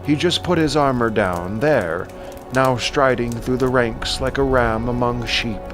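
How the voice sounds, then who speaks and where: A man's voice: mid-range voice, measured pace, music in the background, natural voice. An actor playing an aged, curious king who marvels aloud in a dramatic audio production. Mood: marveling, curious.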